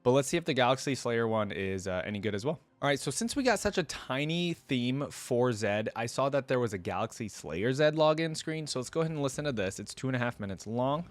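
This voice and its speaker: A man's voice: mid-range voice, sing-song, fairly quick, few pauses, dry recording. A man giving casual, slangy reaction commentary as the host of a video. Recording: loud music behind the voice. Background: game trailer and theme music.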